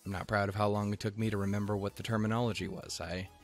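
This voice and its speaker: A man from Montana giving rambling, profane, jokey reaction commentary on an anime episode, with sudden goofy pitch jumps. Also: little pitch movement, quick pace, faint music behind.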